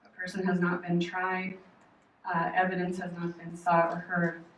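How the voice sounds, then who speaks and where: A woman from Oklahoma giving a prepared public comment at a meeting, earnest, measured and firm. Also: lowish voice, completely flat delivery. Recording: echoey hall, distant mic, faint noise.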